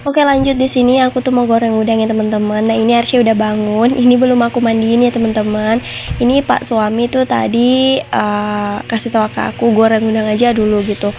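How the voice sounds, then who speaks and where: A woman's voice: fairly high pitch, little pitch movement, fairly quick, a little mellow, slight background noise. A young woman with a nasal voice, congested from flu, chatting casually to viewers in a homemaker's vlog voice-over. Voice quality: nasal, stuffy. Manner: chatty, conversational, addresses viewers as friends. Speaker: homemaker vlogger.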